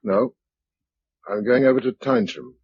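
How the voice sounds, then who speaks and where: A man's voice: mid-range voice, a little slow, darkish tone, slightly rough, no echo. An actor playing a practical, weary male leader of a survivor household in a radio drama, matter-of-fact and decisive. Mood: resolute. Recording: dull lo-fi radio recording.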